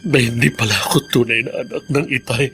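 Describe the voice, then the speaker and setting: A Filipino radio drama father, troubled and remorseful. He speaks earnestly and haltingly in a mid-pitched voice, with music playing faintly beneath. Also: wildly swooping pitch, a bit bright. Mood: anguished, confessional. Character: remorseful adult father, troubled by a family secret.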